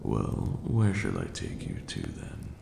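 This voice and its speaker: Man acting as gravelly, extremely deep ancient vampire lord. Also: wildly swooping pitch, mellow, reverberant, a little hiss or hum, full character voice. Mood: gentle, hushed. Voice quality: very deep, gravelly, breathy at times. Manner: slow, formal, dramatic. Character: ancient male vampire lord, brooding, proud, protective teacher. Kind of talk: ASMR roleplay acting.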